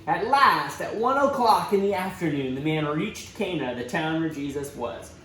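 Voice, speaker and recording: Male children's storyteller, lively and dramatic, roomy echoey sound. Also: a little high, normal speed. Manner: expressive, dramatic emphasis with asides to young listeners. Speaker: church children's storybook reader. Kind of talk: animated read-aloud storytelling for children. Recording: roomy echo, faint background noise.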